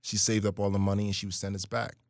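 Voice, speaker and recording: Guy from brooklyn and guyana, low voice, talks quick, animated storyteller, dry studio sound. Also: creaky, no room sound. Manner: animated storyteller, hums melody lines. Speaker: musician guest. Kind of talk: casual interview talk.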